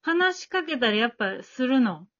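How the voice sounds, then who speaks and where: A woman's voice: on the high side, big pitch swings, moderate pace, a bit bright. A woman hosting a casual group talk, chatty and turning to others with questions. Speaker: host and MC of an amateur radio drama after-talk. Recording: dull lo-fi audio.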